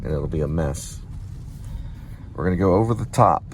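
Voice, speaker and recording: A man's voice: low-pitched, animated, moderate pace. A man chatting casually as the host of a vlog. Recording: noisy car and road sound. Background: car and traffic noise.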